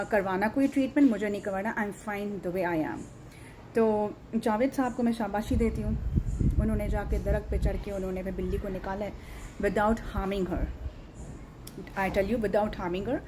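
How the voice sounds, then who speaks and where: A woman's voice: medium pitch, dark tone. A woman with a Pakistani accent talking earnestly and emphatically to viewers in a casual vlog, repeating phrases and mixing in English words. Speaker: animal rescuer and vlogger. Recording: muted tone with background noise. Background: ambient background noise.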